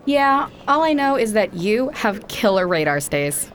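A woman's voice: medium pitch, sing-song, unhurried, a little hiss or hum. A woman playing a snide, impatient young college student in an audio drama, with a slangy, dismissive way of talking. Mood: snide, mocking.

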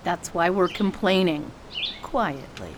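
Woman acting a blunt, low-voiced war veteran. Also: slow, background noise. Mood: deadpan. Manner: curt, deadpan. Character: blunt, protective, sardonic female war veteran. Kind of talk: audio drama acting.

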